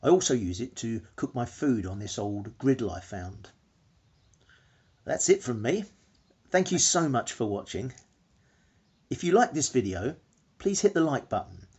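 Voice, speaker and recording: A man's voice: mid-range voice, a little mellow, husky. An actor playing an eccentric, frugal vlogger, earnestly complaining to his viewers in a radio play. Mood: indignant, then matter-of-fact. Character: eccentric frugal male vlogger, penny-pinching and aggrieved. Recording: muffled lo-fi vlog sound.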